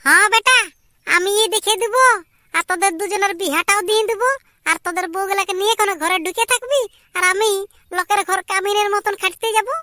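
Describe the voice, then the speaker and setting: Shrill, scolding village mother. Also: fairly quick, pushed and bright, no room sound, studio quality. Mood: sarcastic. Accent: Purulia Bengali. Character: scolding, exasperated village mother of grown sons. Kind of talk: amateur cartoon comedy dialogue.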